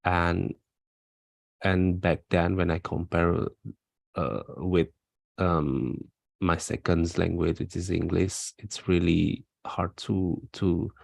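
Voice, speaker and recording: A man's voice: low voice, level tone, takes it slowly, gravelly, a little mellow, no echo. A man from Indonesia, a non-native English speaker, answering practice speaking test questions over a video call in a hesitant way, with many fillers and restarts. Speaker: IELTS speaking test candidate.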